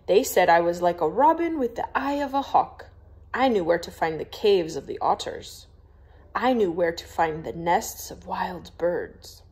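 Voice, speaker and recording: A woman's voice: on the low side, lively intonation, measured pace, darkish tone. A woman voicing a proud, stiff young lady in a storybook, with a prim, formal, scolding manner. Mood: proud, wistful.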